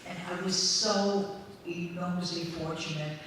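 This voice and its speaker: A woman in her eighties, a guest speaker answering questions from an audience, speaking in a measured, earnest way with pauses. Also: on the low side, fairly even intonation, a bit bright. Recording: echoey hall from a distance, faint crowd noise.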